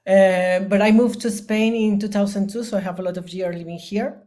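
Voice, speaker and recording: A woman from Venezuela introducing herself on an online panel in a relaxed way. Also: medium pitch, brisk. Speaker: panelist, tech strategist. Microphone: video call.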